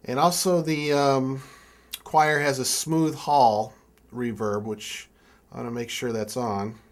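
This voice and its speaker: A man's voice: mid-range voice, steady tone. Young man talking through a hands-on tutorial. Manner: halting, thinking aloud while working. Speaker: music keyboard tutorial presenter. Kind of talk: casual hands-on software tutorial.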